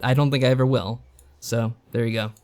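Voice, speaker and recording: A man's voice: medium pitch, fairly even intonation, fairly quick, darkish tone. A man co-hosting a podcast in casual review chat, with a wry, questioning, conversational manner. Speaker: podcast co-host. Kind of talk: casual review chat about visual novels.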